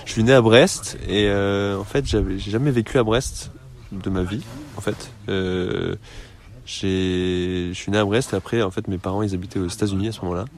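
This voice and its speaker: A young man in his twenties who grew up in the United States, answering interview questions in a relaxed, rambling way with frequent hesitations. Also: on the low side, moderate pace. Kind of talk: casual conversation.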